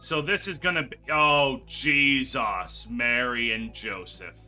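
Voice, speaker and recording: A man hosting a game playthrough, commentating with exclamations and drawn-out words for emphasis. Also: mid-range voice, steady tone, bright, forceful tone. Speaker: gaming video host. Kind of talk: playthrough commentary, reading game dialogue aloud. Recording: music behind the voice. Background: game music.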